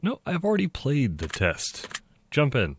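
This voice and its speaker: A man's voice: mid-range voice, wildly swooping pitch, a little slow, a little hiss or hum. A man streaming a game, talking in a chatty, rambling way with a stuffy nose from allergies. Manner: chatty, rambling, self-deprecating. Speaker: gaming streamer. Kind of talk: casual game commentary.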